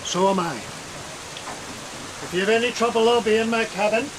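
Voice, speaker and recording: Man playing a high-voiced, sceptical ship's captain. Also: close to an everyday voice. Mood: weary command. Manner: questioning, deliberate, commanding. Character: troubled, sceptical male ship's captain, authoritative and reasoning. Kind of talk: gothic horror audio drama acting. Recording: loud steady rain noise. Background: rain.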